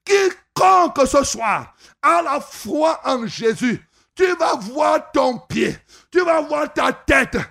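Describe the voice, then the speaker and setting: A man preaching a revival sermon, shouting and stretching his phrases into a sing-song chant. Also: extremely high pitch, expressive, slow, gravelly.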